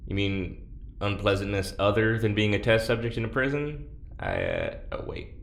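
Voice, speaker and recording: An actor playing a wary, sardonic prisoner and test subject in an audio drama, blunt and sarcastic. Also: male voice, on the low side, sing-song, normal speed, gravelly. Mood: sardonic, irritated. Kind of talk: naturalistic audio drama acting. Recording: roomy, echoing, faint background noise.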